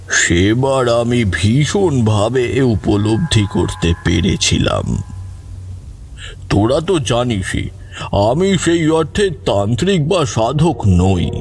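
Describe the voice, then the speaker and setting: A man playing a grave grandfather who tells of evil spirits in a measured, suspenseful horror audio story. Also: mid-range voice, darkish tone, slight background noise. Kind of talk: slow dramatic horror storytelling.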